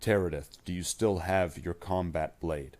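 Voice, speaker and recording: A stern male space marine acted out by a man reading a war story speaks in a very deep voice. He gives clipped, forceful military orders with slow, commanding weight. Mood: urgent, resolute. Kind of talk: narrated war story dialogue.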